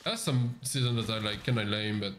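A man playing a game with a friend on stream, teasing him with quick jabs in casual chat. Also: on the low side, slight background noise. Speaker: stream co-player.

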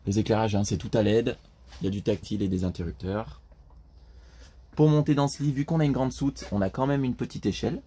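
A man's voice: fairly low pitch, brisk, dark tone, a little hiss or hum. A man, casually walking through a vehicle's features as he films inside a small camper van. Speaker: camper van dealer, co-presenter filming. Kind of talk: casual product walkthrough. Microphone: held camera, speaker behind it.

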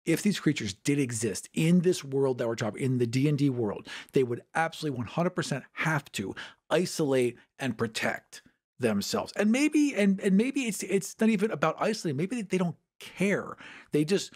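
A man with a voice a little on the high side discusses fantasy game lore on a podcast. His delivery is conversational, with emphatic stresses on his points. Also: expressive, normal speed, darkish tone, breathy edge. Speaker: podcast panelist. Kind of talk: casual animated discussion of tabletop game lore.

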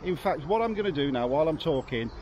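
A middle-aged English man rambles cheerfully into a camera held at arm's length, his voice sitting a little higher than most men's. He is outdoors in town streets and a garden, and a faint hum of traffic and surroundings runs behind him. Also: expressive, fast talker, darkish tone. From England. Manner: chatty, jokey, rambling with restarts. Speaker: vlogger. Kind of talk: casual travel vlog chat.

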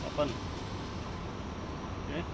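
Man in over loud machine noise. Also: slow pace. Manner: short clipped phrases with many "uh" and "ah" fillers. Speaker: air conditioning technician. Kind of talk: hands-on repair demonstration. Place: outdoors beside air conditioner units.

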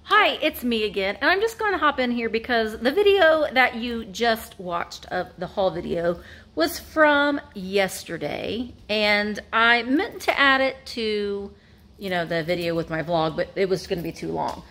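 A woman's voice: mid-range voice, lively intonation, unhurried, fairly bright tone. A woman in her forties chatting casually through a vintage haul video, rambling with fillers and self-corrections, filmed on a close phone camera inside an antique shop. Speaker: vintage reseller and vlogger. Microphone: phone camera held close.

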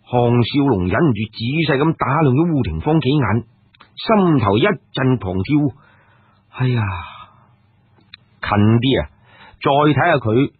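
A man telling a dramatic martial arts story in an expressive, animated way. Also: mid-range voice, breathy edge, dry recording. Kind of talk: dramatic audiobook narration of a martial arts tale. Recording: muffled phone-like radio sound.

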